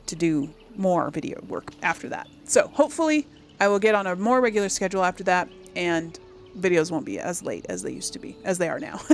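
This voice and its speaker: Female gaming host: medium pitch, informal and chatty, thinks aloud, faint game ambience behind. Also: slight background noise. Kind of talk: casual let's play commentary.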